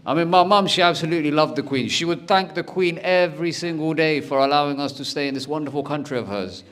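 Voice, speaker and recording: A young man doing stand-up, telling stories in a lively way and acting out the people in them. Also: a little high, fast talker. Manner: animated, acts out every character's lines. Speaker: stand-up comedian. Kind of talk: stand-up storytelling. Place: comedy stage.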